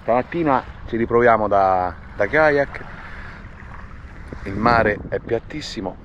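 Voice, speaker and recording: A relaxed man in his thirties gives easygoing commentary to an action camera at arm's length while out on the sea. His voice sits fairly low. The sound is dull, and wind and water noise come through clearly. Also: slow pace. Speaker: fishing vlogger. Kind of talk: casual outdoor vlog narration. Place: outdoors on a kayak and beach by the sea. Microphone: action camera held at arm's length.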